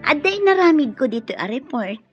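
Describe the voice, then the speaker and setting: A woman's voice: high voice, very animated, a little fast, a little mellow, faint music behind. An actress playing a sly, calculating female accomplice in a radio drama. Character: scheming female accomplice plotting over money. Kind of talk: Ilocano radio drama acting. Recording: dull, lo-fi radio drama audio.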